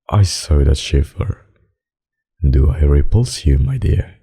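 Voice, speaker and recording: A man voicing a suave, possessive, menacing vampire in an ASMR roleplay, with formal, courtly, seductive speech and a gravelly, husky voice. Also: extremely low pitch, mellow, full character voice. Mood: teasing, menacing. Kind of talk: ASMR roleplay, dramatic monologue.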